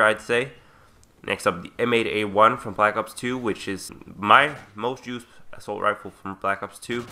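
Sick young man, bright voice. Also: on the low side, a little hiss or hum. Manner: rambling, self-correcting, chatty. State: sick with sore throat. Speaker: gaming commentator. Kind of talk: casual gameplay commentary.

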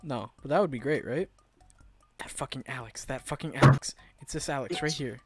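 A man's voice: mid-range voice, brisk, faint music behind. A young man streaming a game at home on a headset, joking around, swearing and laughing with a friend. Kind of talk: casual gaming stream banter.

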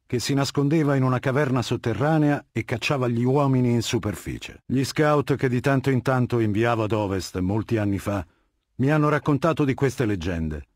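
An actor playing a cold, commanding, intellectual warlord dictator in a video game, speaking with deliberate, lecturing authority. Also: male voice, mid-range voice, no echo, studio quality, lightly acted. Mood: lecturing, proud. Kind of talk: dramatic game dialogue, monologue.

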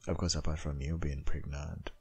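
Man acting very deep, husky, possessive boyfriend. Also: flat delivery, faint music behind, full character voice. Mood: doting, firm. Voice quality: gravelly, breathy, husky, often half-whispered. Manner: slow, murmuring, lingering. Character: tender, possessive dominant boyfriend, doting on his partner. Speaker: ASMR roleplay performer. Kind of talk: intimate boyfriend ASMR roleplay.

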